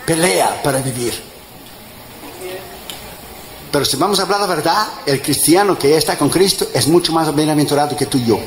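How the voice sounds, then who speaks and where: A man in his fifties, an evangelist preaching a sermon, tired, emphatic, mixing jokes with loud rising exhortation. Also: on the high side, lively intonation, normal speed, pauses often. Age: 50s. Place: church in front of a congregation. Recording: echoey church with crowd and noise.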